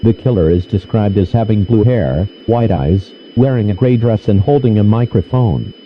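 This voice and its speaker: A man's voice: fairly low pitch, moderate pace. A man reading a formal scripted emergency broadcast announcement in a stiff, official manner. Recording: dull lo-fi radio-style audio, noisy.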